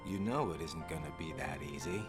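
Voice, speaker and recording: A man's voice: a bass voice, fairly quick, over loud music. A man playing a laid-back, evasive and guarded young man in a video game cutscene.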